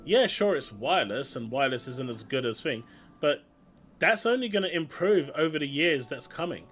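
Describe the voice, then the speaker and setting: A man's voice: fairly high pitch, expressive, moderate pace, fairly bright tone. A man with a London accent chatting casually and opinionatedly while streaming a video game. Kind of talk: casual chat while gaming. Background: game music.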